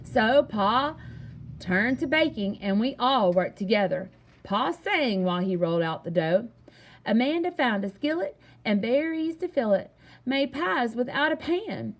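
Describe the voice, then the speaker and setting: A woman reading a children's picture book aloud in an expressive, singsong storytelling manner. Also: a little high, unhurried. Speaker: story reader.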